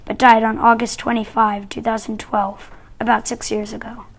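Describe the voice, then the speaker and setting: A woman's voice: on the high side, little pitch movement, normal speed, creaky, slight background noise. A young woman playing a proud male astronaut, reading steadily. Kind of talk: school-project role-play reading.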